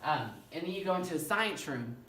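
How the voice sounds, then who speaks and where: A male professor with a fairly high-pitched voice, giving an animated classroom lecture and often checking in with "okay?". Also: a little fast. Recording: echoey room, mic far off, faint noise.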